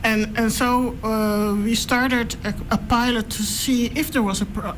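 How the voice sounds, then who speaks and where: A middle-aged woman from Curaçao, a guest researcher answering radio interview questions in a measured, thoughtful way, pausing often to find her words. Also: a little high, steady tone, slight background noise, poor audio. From Curaçao, raised in the Netherlands. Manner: measured, thoughtful, pauses with "uh" while choosing words.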